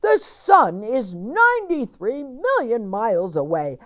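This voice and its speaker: A woman voicing indignant, complaining farm animals in a children's storybook, with loud, emphatic, drawn-out scolding. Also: fairly high pitch, wildly swooping pitch, voice pushed up, heavily acted.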